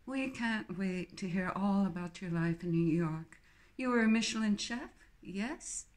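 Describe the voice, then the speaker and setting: A woman's voice: medium pitch, darkish tone, natural voice. A cold, snobbish young woman with a posh English accent, put on by a woman narrator. She draws out her words with icy, haughty politeness, a slightly raised, careful delivery. Storybook reading. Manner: haughty, drawn-out, icily polite.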